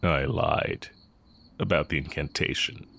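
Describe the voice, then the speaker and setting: A man playing a tender, sly heretic mage and former teacher in a romantic audio roleplay, speaking in measured, formal phrases. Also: a bass voice, vocal fry, pushed and bright, a little hiss or hum, exaggerated voice. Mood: confiding, earnest. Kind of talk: romantic audio roleplay, intimate scripted acting.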